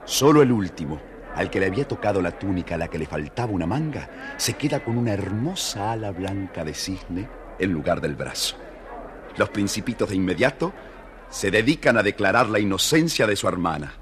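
A man narrating a scripted children's fairy tale as an expressive, dramatic storyteller. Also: low-pitched, brisk.

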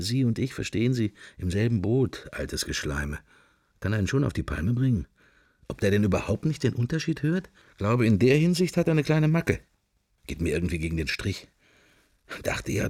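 A man's voice: on the low side, a little mellow, no echo, clean studio sound. An actor playing a man's wandering, wry and melancholy inner thoughts in fragments, for a radio drama. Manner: murmured, fragmentary, introspective. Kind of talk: radio drama interior monologue.

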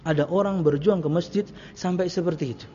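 A man's voice: sits high, fairly quick, warm tone, breathy edge. A man giving an Islamic lecture to a congregation, conversational, repeating phrases and asking rhetorical questions. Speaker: Islamic preacher. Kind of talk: religious lecture to a congregation, with anecdotes. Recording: muffled, reverberant hall recording.

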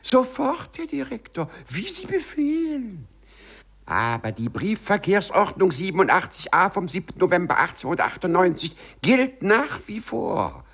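A man's voice: high voice, very animated, moderate pace, gravelly, fairly bright tone, husky, exaggerated voice. A man playing a meek office clerk turned spiteful avenger in a 1950s German radio play, theatrical and mock-servile. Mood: taunting triumph.

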